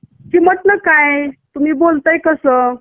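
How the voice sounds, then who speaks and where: A woman's voice: high voice, slow pace, no room sound. A woman with a Maharashtrian Marathi accent, a doctor speaking bluntly in a casual phone conversation. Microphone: phone call. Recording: tinny narrowband phone line.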